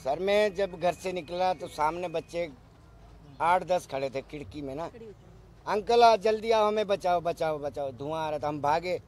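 A man's voice: fairly high pitch, measured pace, a little mellow. A middle-aged man, recounting what he saw to reporters outdoors at a cluster of microphones. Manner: urgent, recounting step by step. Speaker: neighbor and eyewitness. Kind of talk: eyewitness account to press. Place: outdoors in a crowded street. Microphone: cluster of press microphones.

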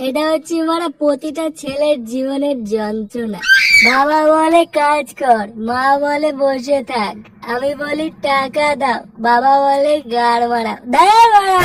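An actor performs a drunken cartoon loudmouth with a very high-pitched voice. The delivery is crude, declamatory and bitter, full of swearing, and sounds somewhat distant from the microphone. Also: normal speed, soft background music, lightly acted. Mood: drunken, aggrieved. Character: drunken, foul-mouthed older-brother figure, bitterly ranting about life. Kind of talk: Bengali comedy cartoon voice acting.

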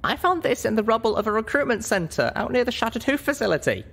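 Man as small female pony heroine, high voice, stubborn, emphatic, lively storybook character. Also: fast, breathy edge, heavily acted. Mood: indignant, insistent.

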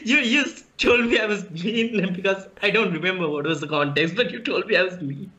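A man's voice: high voice, a little fast, bright, forceful tone, husky. A man with an Indian accent co-hosting a casual livestream, talking in a good-natured way with frequent laughs. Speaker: co-host.

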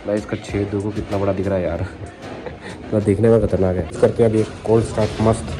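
A man's voice: fairly low pitch, little pitch movement, fairly quick, over music. A young man chatting casually and slangily to viewers as a motorcycle vlogger. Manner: informal, slangy, repetitive bro-talk. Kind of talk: casual vlog chat to viewers. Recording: loud motorcycle engine and traffic noise.

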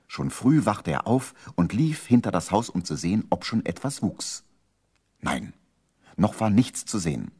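A man's voice: fairly low pitch, sing-song, measured pace, dark tone, breathy edge. A man narrating a children's radio play as a scripted storyteller.

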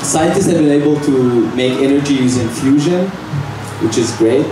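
A young man from Algeria doing a stand-up set at an open mic, delivering jokes deadpan with halting fillers and nervous laughs, on a handheld mic in a small bar. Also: mid-range voice, over music. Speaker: stand-up comic. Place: small bar stage indoors. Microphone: handheld mic, filmed from far camera. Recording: echoey room from far off, noisy.

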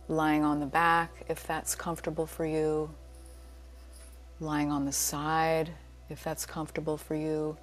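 Low, slow-spoken woman guiding meditation. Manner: soothing, unhurried, gently inviting. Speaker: yoga nidra teacher leading a guided relaxation. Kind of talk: guided meditation.

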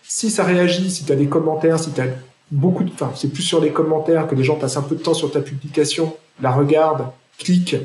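A man interviewing a guest in a casual, relaxed chat, explaining things informally. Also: fairly high pitch, fairly quick, few pauses, reverberant. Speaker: interviewer, podcast host.

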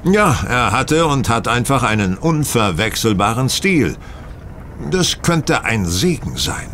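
An actor playing a self-assured, wry gentleman detective in a radio play, explaining in a measured, teasing way. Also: mid-range voice, very animated, slight background noise. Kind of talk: German radio play acting.

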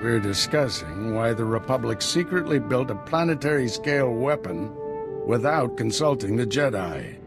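An actor playing a grave, composed master in a video game. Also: male voice, mid-range voice, lively intonation, normal speed, natural voice. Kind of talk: video game cutscene acting. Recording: music behind voice.

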